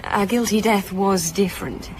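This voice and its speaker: A woman's voice: medium pitch, moderate pace, slight background noise, natural voice. An actress playing a chatty, wry female publishing executive, candid and joking in a hesitant conversational way, in a naturalistic radio drama. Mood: candid, dry. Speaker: actor in audio drama.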